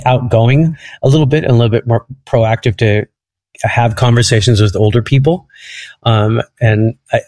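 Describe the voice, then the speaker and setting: A man's voice: mid-range voice, darkish tone. A man from Egypt, a comedian guest on a podcast, telling stories in a rambling way and laughing at them. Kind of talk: casual interview chat.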